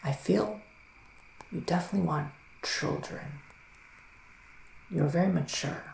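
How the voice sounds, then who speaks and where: A man playing a gentle psychic witch in an ASMR roleplay, speaking in a soft, musing whisper with long pauses. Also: a little high, gravelly, echoey room, a little hiss or hum, poor audio. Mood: hushed, musing. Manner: slow, hushed, musing, with drawn-out pauses. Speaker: ASMR performer giving a psychic reading.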